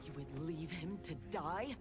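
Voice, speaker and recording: A woman's voice: low-pitched, expressive, unhurried, loud music behind the voice. A woman playing an earnest, loyal ninja in a video game, speaking formally and insistently. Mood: indignant. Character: earnest, loyal female ninja, urgently pleading. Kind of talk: video game cutscene acting.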